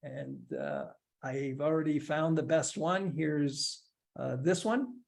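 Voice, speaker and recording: Male instructor in his forties, casual tutorial delivery, step-by-step with light humor, slightly high voice. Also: slow, creaky. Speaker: instructor giving a software demo. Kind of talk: screen-share tutorial, casual explanation.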